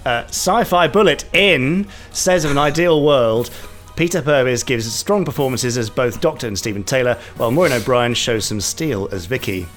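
A man's voice: medium pitch, moderate pace, a bit bright, soft background music. A man co-hosting a podcast, playfully reading listener reviews aloud in casual banter. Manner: playful, reads quotes aloud with mock gusto. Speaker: podcast co-host.

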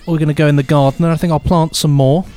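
Higher-pitched man, whimsical host. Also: steady tone, quick pace, warm tone, soft background music. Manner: whimsical, playful. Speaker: podcast co-host. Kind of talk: casual whimsical chat.

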